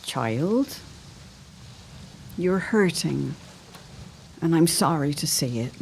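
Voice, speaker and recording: A grave yet tender older society matriarch, played by an actress, with a low, slow voice. She speaks formally and with measure in a period audio drama, with faint noise behind. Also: expressive, creaky, full character voice.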